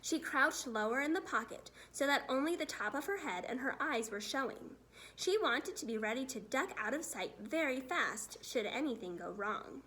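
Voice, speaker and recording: A woman reading a children's story aloud as expressive narration, in the role of a teacher. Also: high voice, normal speed, a little hiss or hum.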